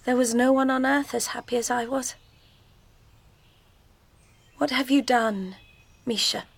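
A woman's voice: fairly high pitch, a little slow, creaky, slightly rough, no room sound, heavily acted. An actress playing a young wife and mother, tearful and reproachful toward her unfaithful husband in a radio play. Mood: bitter.

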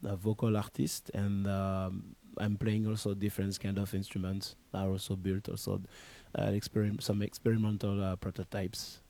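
A man's voice: on the low side, completely flat delivery, normal speed, gravelly, few pauses, dark tone. A man from France with a French accent, a vocal artist introducing himself and his music in hesitant English. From France, family from Martinique.

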